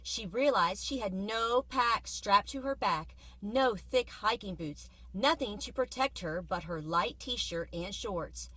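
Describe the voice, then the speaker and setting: A woman reading a children's book aloud from inside a car, with expressive, suspenseful dramatic narration like a teacher. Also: mid-range voice, slow, hardly any pauses, dry recording, poor audio. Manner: expressive, suspenseful storytelling with varied volume.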